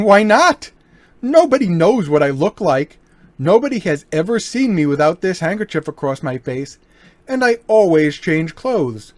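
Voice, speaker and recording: An actor in an amateur old-time radio play, voicing a smooth, mocking gentleman burglar with a lively, swinging delivery. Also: high voice, unhurried, no room sound. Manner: smooth, mocking, turns menacing. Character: suave, cocky male gentleman burglar.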